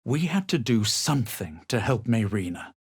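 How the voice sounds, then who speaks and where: A man voicing a haughty, self-assured fantasy adventurer in a video game, measured and superior in manner. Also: medium pitch, big pitch swings, a little mellow, husky, dry recording, studio quality. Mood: dry, curt. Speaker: video game player character. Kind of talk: scripted game voice lines, narration and spell incantations.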